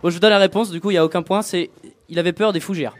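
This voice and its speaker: A man's voice: high voice, steady tone, rapid-fire. A young man co-hosting a student radio quiz, asking questions with lots of hesitant fillers. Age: young adult. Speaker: quiz co-host.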